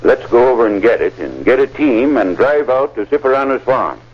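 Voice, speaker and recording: Man playing a brisk country lawman, American accent, animated pitch, methodical, radio drama, dull noisy old recording. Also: close to an everyday voice. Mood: inquisitive, businesslike. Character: brisk, methodical small-town constable investigating a crime. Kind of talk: old-time radio acting.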